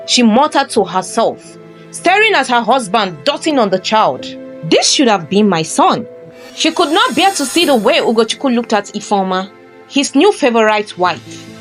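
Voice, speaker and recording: A woman storyteller giving a scripted, dramatic narration of a folktale. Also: fairly high pitch. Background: faint music.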